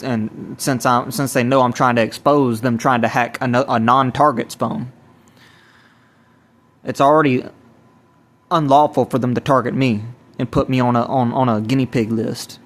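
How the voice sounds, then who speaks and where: A man talks in a rambling, personal way, like someone recording his own testimony. His pitch sits in the middle and barely moves. He is halting, often repeating and restarting his phrases. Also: fairly bright tone. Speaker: self-described evangelist recording a personal video. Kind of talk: rambling spoken testimony to camera or phone.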